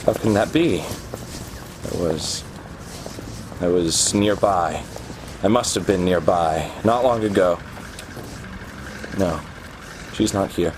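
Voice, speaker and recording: Man playing a low-voiced, brooding genius programmer. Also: noisy background. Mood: anguished, dazed. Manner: brooding, halting, scornful monologue. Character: confused, lovelorn, arrogant genius programmer searching obsessively. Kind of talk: radio play acting. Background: music.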